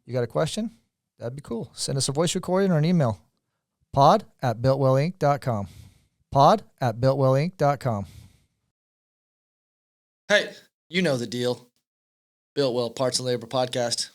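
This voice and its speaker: A man's voice: mid-range voice, a little slow, long pauses. A middle-aged man hosting a casual podcast, joking and ribbing his guest. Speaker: podcast host. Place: podcast studio in California.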